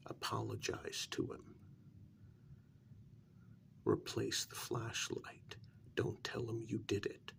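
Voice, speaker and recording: A man playing a blunt, exasperated confidant to a vampire in a soft-spoken ASMR role-play, breathy and half whispered, with a measured, admonishing manner. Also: on the low side, a little hiss or hum, heavily acted. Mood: firm, advising. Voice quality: gravelly, breathy, near-whisper.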